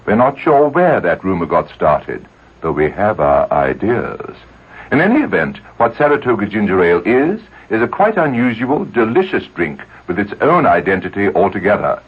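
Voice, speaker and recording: Husky, lively ad voice. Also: male voice, fairly low pitch, normal speed, phone-quality sound. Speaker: commercial announcer. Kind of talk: scripted radio advertisement.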